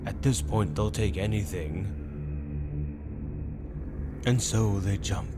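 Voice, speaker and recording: A man narrating a dark fantasy story in a dramatic ASMR style, often dropping into a whisper. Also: fairly low pitch, slow pace. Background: loud music bed.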